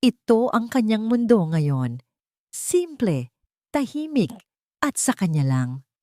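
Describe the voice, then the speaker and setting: A woman narrates a scripted romantic drama in a sentimental, measured storytelling manner. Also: a little high, very animated, a little mellow, dry recording, studio quality.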